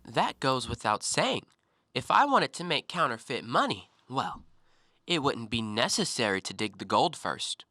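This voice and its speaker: A man playing a talkative, educated and self-righteous gentleman antiquarian in an audio drama, given to theatrical, argumentative speeches. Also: medium pitch, very animated, a little slow, no room sound, studio quality, exaggerated voice. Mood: musing, self-assured. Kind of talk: stage-play acting in an audio drama.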